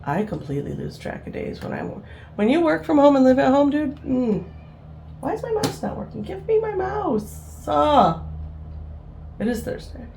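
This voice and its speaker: A woman in her fifties chats to camera from her home, rambling along with plenty of fillers and easy laughs. Her voice has a gravelly edge, and her pitch swings widely as she talks. A faint hum sits behind her. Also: very animated, normal speed, darkish tone. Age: 50s. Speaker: vlogger. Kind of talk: casual chat to camera.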